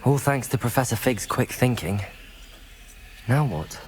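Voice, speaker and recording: A man's voice: medium pitch, animated, a little slow, darkish tone, slight background noise, natural voice. An actor playing an earnest, curious young male wizard student in a video game. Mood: curious, wary.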